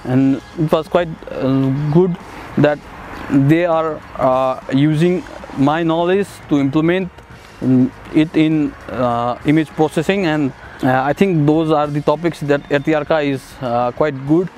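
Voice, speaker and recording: A young man from Nepal, a master's student, talking casually about his studies with many hesitant fillers and soft chuckles, recorded on a lapel mic. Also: fairly high pitch, unhurried, a little hiss or hum. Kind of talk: casual testimonial interview. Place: outdoors on a city riverside path and in a bright building.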